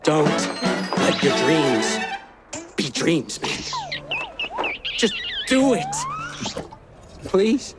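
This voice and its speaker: A man's voice: very high-pitched, huge pitch swings, drawn-out words, pauses often, loud music behind the voice, exaggerated voice. A man voicing a laid-back, dreamy slacker circus worker in a cartoon game, with casual drawling talk. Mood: dreamy, urging.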